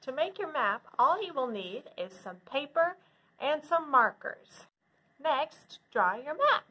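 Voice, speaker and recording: A woman with a high, very lively voice talks to children as a librarian. She explains step by step in simple phrases at an unhurried pace. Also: low-quality recording. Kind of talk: instructional talk for children.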